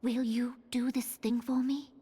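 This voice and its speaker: An actress playing a solemn, resolute young sultana in a fantasy game, speaking in formal, stately, archaic phrases. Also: female voice, a little high, level tone, unhurried, warm tone, breathy edge. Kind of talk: scripted fantasy game cutscene acting.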